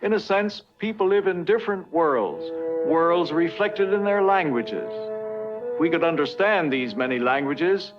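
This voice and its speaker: An older man playing a patient, kindly professor, lecturing deliberately and reassuringly in a 1950s educational film. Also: on the high side, natural voice. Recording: dull vintage film soundtrack, music behind.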